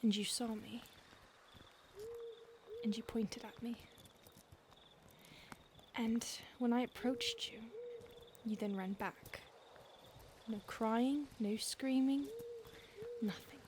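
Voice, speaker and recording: A woman voicing a centuries-old female vampire stalker in an audio roleplay, speaking softly and often in a whisper, calm and menacing. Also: a little high, slow pace, long pauses. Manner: soft, intimate, often whispered. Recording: noisy background hiss.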